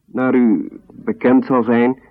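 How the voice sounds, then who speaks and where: An actor playing a formal parent reading out a protest letter in a radio drama, in a measured, official manner. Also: male voice, medium pitch, level tone, natural voice. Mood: formal, firm. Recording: narrow, phone-like old radio recording.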